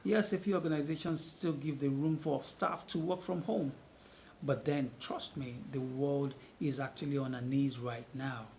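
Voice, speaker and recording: A man's voice: medium pitch, fairly even intonation, normal speed, darkish tone, slight background noise. A man from Nigeria, giving an earnest news update as a reporter. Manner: earnest, emphatic. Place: outdoor market.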